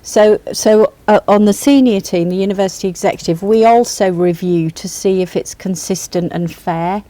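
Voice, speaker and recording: A woman on the university's senior team answering student questions on a panel, measured and reassuring, often restarting her sentences. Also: medium pitch, dark tone, no room sound.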